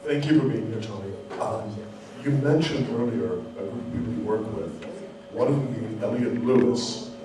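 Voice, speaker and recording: Male audience member, fairly deep, courteous, far from the mic in a reverberant hall. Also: normal speed. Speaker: audience questioner. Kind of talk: polite audience question. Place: indoor hall at a live event. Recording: echoey hall, distant mic, clear noise.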